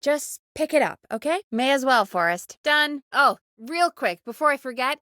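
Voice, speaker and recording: A woman voicing a video game character, a brisk, wry late-night radio producer who talks in a bossy, teasing, conversational way. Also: sits high, animated, sharp tone, no room sound. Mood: calm, reassuring. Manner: bossy, teasing, dry sarcasm. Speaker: radio show producer giving cues.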